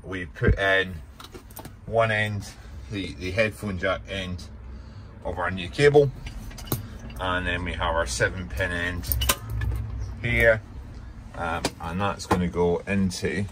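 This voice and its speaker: A man giving an informal hands-on tutorial, hesitant and thinking aloud as he works. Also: low-pitched. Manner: unhurried, hesitant, thinking aloud while working. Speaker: hobbyist presenter of a workshop how-to. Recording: noticeable background noise while working.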